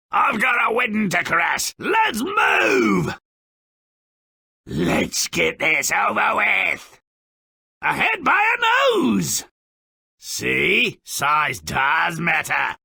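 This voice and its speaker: Nasty little dwarf narrator for a game, gravelly n raspy, sneering, slow n theatrical, pitch all over the place. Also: male voice, sharp tone, dry recording, studio quality, exaggerated voice. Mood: rallying, gleeful. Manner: sneering, mocking, melodramatic. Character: sardonic, grotesque little dwarf storyteller, gleefully malicious.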